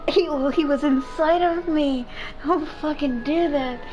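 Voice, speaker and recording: A woman's voice: high-pitched, unhurried, a little mellow, rough recording. A woman doing casual gaming commentary, rambling and informal with some swearing. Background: game music.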